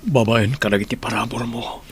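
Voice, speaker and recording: A man with an Ilocano accent playing a gentle, hardworking middle-aged father in an audio drama. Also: medium pitch, fairly even intonation, a little fast, slight background noise, close to an everyday voice. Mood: gentle, earnest.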